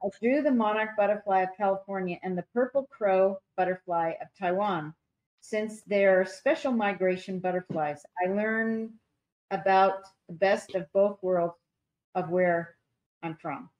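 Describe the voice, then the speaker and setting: Woman haltingly reading entries on a call. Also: medium pitch, muffled recording. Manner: halting, stumbles over unfamiliar names. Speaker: public arts commissioner. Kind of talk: reading artwork entries aloud in a meeting. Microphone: video call.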